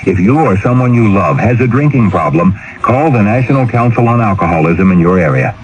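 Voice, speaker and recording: A man's voice: fairly low pitch, lively intonation, a little fast, low-quality recording. A man reading a scripted public service announcement for radio.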